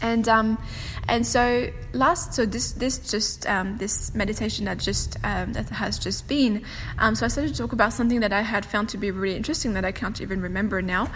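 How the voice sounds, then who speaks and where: A woman whose first language is French, hosting a casual solo podcast and talking quickly, with frequent laughter. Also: mid-range voice, fairly even intonation. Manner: fast-talking, chatty, laughs often. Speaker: podcast host and meditation teacher. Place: home room with a fireplace on a windy day. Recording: long room reverb, faint wind whoosh. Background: faint wind noise from the fireplace.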